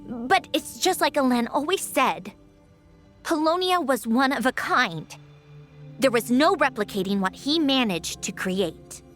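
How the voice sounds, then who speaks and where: An actress playing a weary, sardonic female inventor in a video game, speaking slowly with a dry, sighing delivery. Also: a little high. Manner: dry, sighing, slow and reflective. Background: soft game music.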